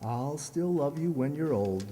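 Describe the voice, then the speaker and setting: A man plays a patient, wryly devoted husband from a 1940s radio comedy. His voice is very dark and soft-edged, with lively swings in inflection. A long hall reverb surrounds it. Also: on the high side, measured pace. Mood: wry, indulgent. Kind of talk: old-time radio comedy acting. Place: reverberant hall, live stage performance.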